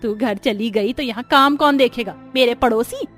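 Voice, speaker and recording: A woman's voice: a little high, normal speed, a bit bright, soft background music, lightly acted. A woman voicing a bossy, grudging middle-aged mother-in-law in a cartoon, curt and commanding.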